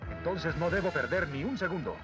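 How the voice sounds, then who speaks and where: A man's voice: fairly high pitch, lively intonation, a little fast, natural voice. A man playing an earnest, determined young prince with an urgent manner in a Latin American Spanish cartoon dub. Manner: urgent, emphatic. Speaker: voice actor in a dubbed cartoon. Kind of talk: dramatic cartoon dub acting. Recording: dubbed cartoon with loud music underneath.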